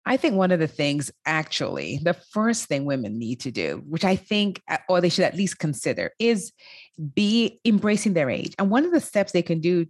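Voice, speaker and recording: A woman in her fifties speaks as an interview guest, emphatic and upbeat, often breaking into laughter. Her voice sits a little low but swings widely in pitch. The sound is dry and close, with no room around it. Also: very animated, husky, no room sound. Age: 50s. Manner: enthusiastic, quick to laugh, emphatic. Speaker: guest, life coach for women over fifty. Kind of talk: interview conversation.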